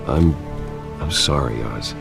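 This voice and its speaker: A very deep, gravelly man, as a brooding wealthy hero in a video game. He speaks with measured restraint and understatement, with faint music underneath. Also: exaggerated voice. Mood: sombre sympathy.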